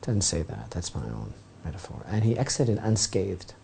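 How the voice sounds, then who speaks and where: A man's voice: sits low, sing-song, moderate pace, vocal fry, dark tone, slight background noise. A man, a rabbi, giving a Torah lesson and retelling an ancient legend with dramatic storytelling and asides to his listeners. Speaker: rabbi, teacher.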